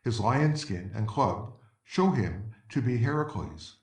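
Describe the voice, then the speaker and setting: A man narrates a play's stage directions in a scripted reading. His mid-pitched voice sits away from the microphone, in a room with obvious echo. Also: lively intonation, slow, darkish tone. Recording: echoey room, distant microphone.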